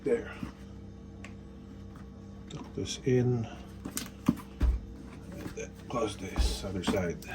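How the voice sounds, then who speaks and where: Slow-talking, low-voiced man giving instructions. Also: over loud music. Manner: unhurried step-by-step, short instructional phrases. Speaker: product installation demonstrator. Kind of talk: casual hands-on tutorial narration. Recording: faint background noise.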